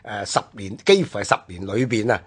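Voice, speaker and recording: A man's voice: fairly low pitch, animated, a little fast, husky. A man with a Hong Kong Cantonese accent co-hosting a history discussion, explaining in conversational, list-like phrases. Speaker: radio co-host.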